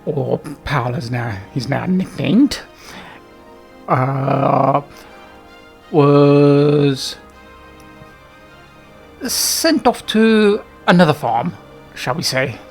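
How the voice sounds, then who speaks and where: A man's voice: fairly high pitch, expressive, extremely slow, vocal fry, pauses often, husky, soft background music. A man giving casual, unscripted gameplay commentary in a hesitant, rambling way, often trailing off. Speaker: gaming video host.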